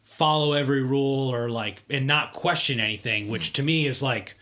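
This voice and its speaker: A man's voice: medium pitch, moderate pace. A man hosting a casual podcast chat, talking loosely with lots of "like" and "right?" fillers. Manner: relaxed, many "like" and "right?" fillers. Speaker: podcast host.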